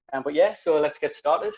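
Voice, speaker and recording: A man's voice: on the high side, slightly rough, highs cut off. A young man from the UK with a broad British accent, reading out community questions over a video call with a friendly manner and lots of ums. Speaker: community council member asking questions. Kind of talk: casual video call interview. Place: small room at home. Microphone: earphone headset on video call.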